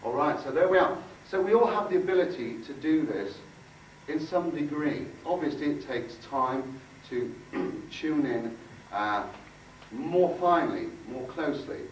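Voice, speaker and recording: A man giving an informal workshop talk, halting and conversational, repeating phrases for emphasis. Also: fairly high pitch, animated. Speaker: workshop speaker and aura healer. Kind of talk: informal talk with demonstration and guided visualisation. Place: indoor room before a group. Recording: echoey room, distant mic, faint noise.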